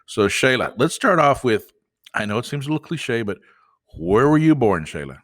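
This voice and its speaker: A man hosting a business podcast, interviewing a guest in a casual, teasing way with laughs and halting restarts. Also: mid-range voice, very animated, fairly bright tone. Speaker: podcast host.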